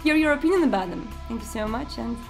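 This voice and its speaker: A woman's voice: on the high side, expressive, quick pace, vocal fry. Woman introducing a campaign over music. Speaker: campaign organizer and player introducing the session.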